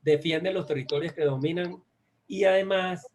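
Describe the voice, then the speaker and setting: A Venezuelan man presents research in a slightly high voice. He speaks in a halting way, doubling back on phrases and searching for the right word as he explains. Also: a little hiss or hum. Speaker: guest speaker. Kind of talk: academic presentation on a research study.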